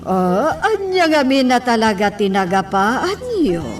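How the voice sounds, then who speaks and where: Slow-paced, concerned Filipina mother. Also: fairly high pitch, sing-song, recorded from a distance. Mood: questioning. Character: concerned, questioning middle-aged mother of a spoiled daughter. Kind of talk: radio drama acting.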